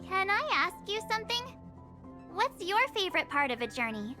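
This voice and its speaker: A woman's voice: extremely high pitch, very animated, moderate pace, over music. An actress voicing a perky, enthusiastic teenage girl in a video game, bubbly and chatty. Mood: cheerful, chatty. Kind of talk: scripted game dialogue.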